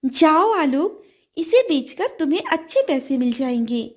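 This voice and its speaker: A kind, grateful female fish with magic powers speaks from a children's fairy tale , acted out by a woman. The voice is very high and lively, with a gentle, earnest manner that offers help generously. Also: measured pace. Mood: helpful.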